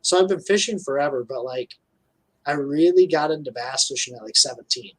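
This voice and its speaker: A man's voice: mid-range voice, lively intonation, fairly quick, poor audio. A thirty-year-old man chatting casually as a guest on a live video call from home, laid-back and colloquial. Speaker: guest angler. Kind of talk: casual chat.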